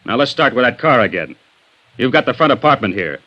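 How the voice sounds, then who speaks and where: An actor playing a gruff, impatient male police sergeant who bullies and needles witnesses, in a 1950s radio drama. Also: medium pitch, very animated, fairly quick. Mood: badgering. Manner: bullying, sarcastic interrogator. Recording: dull lo-fi old radio recording.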